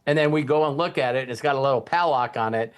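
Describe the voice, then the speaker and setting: A man's voice: medium pitch, fast, clean tone. A man hosting a casual motivational talk in a rambling, folksy, anecdotal way. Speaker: host of a motivational team talk.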